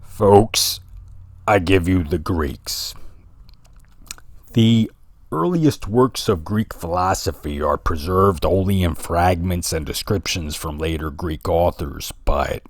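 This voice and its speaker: Deep-voiced man reading a philosophy essay. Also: no room sound, clean studio sound. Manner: deliberate, rhetorical, addresses listeners directly; noticeable regional accent. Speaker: author reading his own essay.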